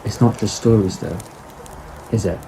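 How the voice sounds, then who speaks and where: Noisy ambience sits behind a man playing a fairly low-voiced male companion in an audio drama. He is easily spooked and sceptical, and questions things in a hesitant, anxious way. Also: normal speed, close to an everyday voice. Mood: doubtful, questioning. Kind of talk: fan audio drama acting.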